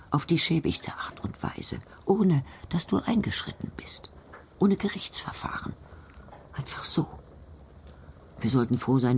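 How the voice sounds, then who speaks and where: A woman playing an aggrieved, devout old grandmother in a radio play, often dropping into breathy whispers as she speaks intimately. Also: extremely low pitch, exaggerated voice. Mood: bitter, reproachful.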